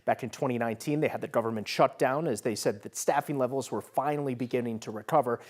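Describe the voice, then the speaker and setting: American male TV reporter, medium pitch. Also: quick pace, dry recording, studio quality. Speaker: TV news reporter. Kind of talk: broadcast news report.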